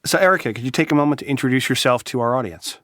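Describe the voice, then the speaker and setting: A man's voice: medium pitch, racing, bright, forceful tone, no room sound. Guy co-hosting a podcast, friendly questions, dry close sound. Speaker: podcast co-host. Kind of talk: conversational podcast interview.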